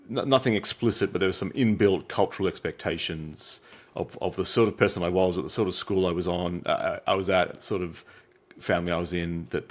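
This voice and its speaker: Man, australian accent, very deep voice, reflective interview answers with restarts. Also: husky. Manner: reflective, many restarts and fillers. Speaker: guest, psychiatric trainee.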